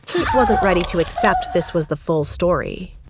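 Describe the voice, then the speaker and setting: A woman's voice: fairly low pitch, expressive, moderate pace, heavy background noise. A woman narrating a scripted dramatic story as its narrator.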